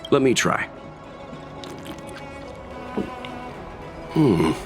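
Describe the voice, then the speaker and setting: A man playing a calm, wry and thoughtful space traveler in a video game, speaking in a measured, gentle way. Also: medium pitch, natural voice. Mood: wry, amused. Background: music.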